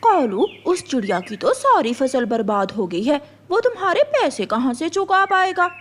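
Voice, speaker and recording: A woman's voice: high voice, sing-song, normal speed, slight background noise. A woman voicing a scheming, nagging female crow in a cartoon, with pointed dramatic delivery. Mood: urging. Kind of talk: cartoon voice acting.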